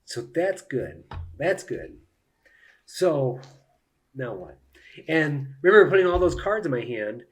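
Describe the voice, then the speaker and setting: Man thinking aloud with lively, swinging pitch. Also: slow pace, long pauses. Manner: talks through each move, explaining to viewers. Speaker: solo board game player and streamer. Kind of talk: casual live play-through commentary, thinking aloud.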